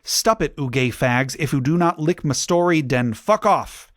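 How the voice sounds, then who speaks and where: A man's voice: fairly high pitch, lively intonation, normal speed, a bit bright, no room sound, studio quality. A man voicing an indignant teenage girl author snapping defensively at critics in a whiny way. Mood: indignant, scornful.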